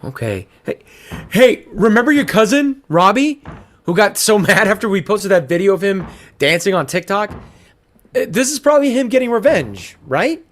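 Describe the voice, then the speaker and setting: Frantic everyman husband played by a man, high voice, very animated, unhurried stretches between halting bursts, dark comedy audio play. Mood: rattled. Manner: halting, agitated, conversational. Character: frantic everyman husband fielding and leaving eerie phone messages.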